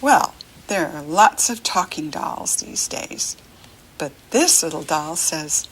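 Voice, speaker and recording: A woman with an American accent leads a picture-book reading lesson for small children. Her voice is breathy and a little husky, and she takes her time. She speaks in short, simple, encouraging phrases, pausing to let the child answer. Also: sits low, expressive, poor audio. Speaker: reading teacher for young children.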